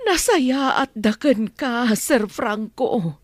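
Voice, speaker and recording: An old family nanny in a radio soap. Her voice is high and slow, closely recorded, and she speaks with earnest, pleading reproach. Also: expressive, no room sound. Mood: admonishing. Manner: earnest, admonishing, drawn-out delivery. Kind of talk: radio drama acting in Ilocano.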